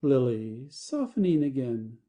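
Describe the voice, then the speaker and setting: Very dark, low-toned female narrator. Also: big pitch swings, unhurried. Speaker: narrator reading stage directions. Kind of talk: scripted narration.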